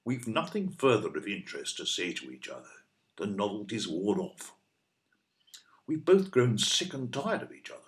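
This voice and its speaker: An actor playing a blunt, stubborn older British husband in an audio play, speaking in a dry, matter-of-fact way. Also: male voice, fairly low pitch, sing-song, normal speed. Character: blunt, grumpy older husband wanting his freedom.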